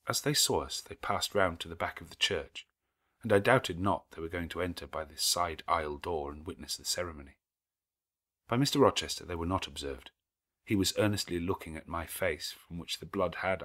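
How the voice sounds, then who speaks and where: A man reading literary narration for an audiobook in a measured, dramatic storytelling manner. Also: low-pitched, a bit bright.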